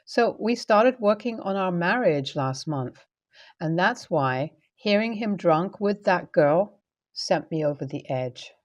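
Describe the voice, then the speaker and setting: Female narrator, slightly low register, scripted first-person storytelling.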